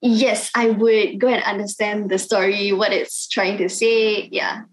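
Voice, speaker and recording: A woman's voice: mid-range voice, little pitch movement, a little slow, low-quality recording. Young Malaysian woman, hesitant replies. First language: Cantonese. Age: 20s. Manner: soft-spoken, hesitant with many ums. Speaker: singer guest. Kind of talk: interview answers.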